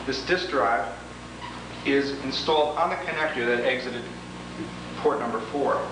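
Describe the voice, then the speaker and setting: A man giving an instructional product demo, explaining each step in a deliberate, methodical way. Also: medium pitch. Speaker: product demonstrator. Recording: roomy, echoing, noisy 1980s demo tape, distant mic.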